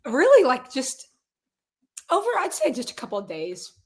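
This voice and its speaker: A woman in her twenties with a raspy, hoarse voice, chatting casually as a guest musician from a closet at her grandparents' house. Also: sits high, wildly swooping pitch, moderate pace, a little mellow. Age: 20s. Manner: upbeat, laughs often, many fillers. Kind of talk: casual interview chat.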